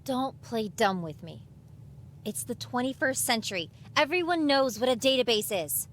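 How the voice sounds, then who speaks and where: A woman's voice: on the high side, expressive, moderate pace, a bit bright, a little hiss or hum. A woman playing a sceptical, sarcastic seventeen-year-old modern girl in an audio drama. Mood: annoyed, sarcastic. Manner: sarcastic, dismissive.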